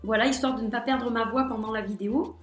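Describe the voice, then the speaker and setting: A woman's voice: fairly high pitch, steady tone, fast. A woman with a slight head cold, chatting hesitantly in a casual vlog as she shows her tarot and oracle cards. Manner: chatty, hesitant, many fillers. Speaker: tarot and oracle card vlogger. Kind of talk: casual show-and-tell vlog. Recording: echoey room with faint music.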